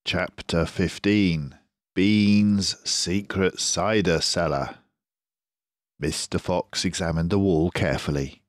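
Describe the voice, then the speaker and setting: An older man reads a children's book aloud in a measured, expressive storyteller's voice. His pace is unhurried and the recording is dry and close. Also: low-pitched, dry recording. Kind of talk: scripted storybook narration.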